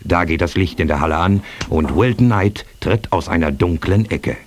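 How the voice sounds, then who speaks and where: An actor narrating a suspenseful action radio play as its storyteller. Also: male voice, low voice, moderate pace, a little hiss or hum, close to an everyday voice. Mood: suspenseful narration. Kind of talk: scripted audio drama narration.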